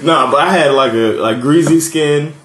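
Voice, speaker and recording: An American man from Miami with a fairly high voice, telling stories in a casual podcast chat, laughing often and swearing freely. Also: normal speed, a bit bright, roomy sound, a little hiss or hum. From Miami, USA. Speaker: podcast co-host.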